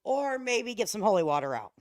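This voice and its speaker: Animated, sarcastic woman livestreamer. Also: mid-range voice. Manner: sarcastic, mocking, folksy. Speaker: livestream host. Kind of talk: reaction livestream commentary, casual chat.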